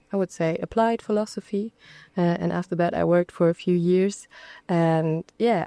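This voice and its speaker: A woman's voice: on the low side, dark tone, clean tone, no echo. A woman introducing herself as a master's student, hesitant and using fillers. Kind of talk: self-introduction.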